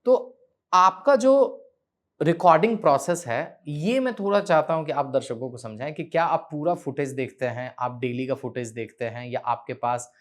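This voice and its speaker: A man's voice: fairly high pitch, moderate pace. A man with an Indian accent interviewing conversationally, putting questions in a polite, deferential way.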